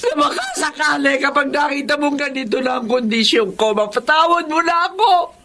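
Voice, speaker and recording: A woman's voice: sits high, moderate pace, a bit bright, a little hiss or hum. A woman playing a guilt-ridden elderly mother in an emotional radio drama, pleading melodramatically for forgiveness. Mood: tearful pleading. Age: older.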